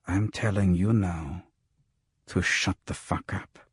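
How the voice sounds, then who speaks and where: A man voicing a big, menacing pimp with a soft voice and a slight growl in an audiobook. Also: sits low, fairly even intonation, takes it slowly, husky, no room sound, studio quality, heavily acted. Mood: quiet menace. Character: big, one-eyed, menacing male pimp. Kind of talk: audiobook character voice.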